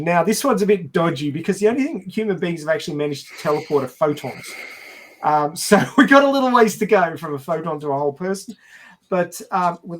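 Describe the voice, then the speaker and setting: Podcast panelist, male, slightly high voice, explains in a halting, wry way, casual discussion style. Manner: halting, explanatory, wryly jokey. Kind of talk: casual film and science discussion.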